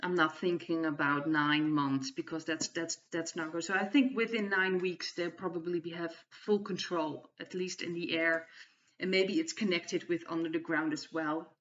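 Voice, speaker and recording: A Dutch-accented woman with a slightly low voice talks through a card reading for her channel. She speaks hesitantly and often restarts her phrases. She sits a little away from the microphone, and the lo-fi recording sounds somewhat dull. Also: steady tone, normal speed, a bit bright, slight background noise. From Netherlands. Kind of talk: casual spoken tarot reading monologue. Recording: distant, dull lo-fi mic.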